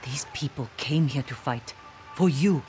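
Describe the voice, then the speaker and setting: An actor playing a loyal young fighter in a video game cutscene, speaking in a quiet, earnest way. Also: male voice, mid-range voice, a little slow, lightly acted. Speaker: game character. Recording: game soundtrack music behind.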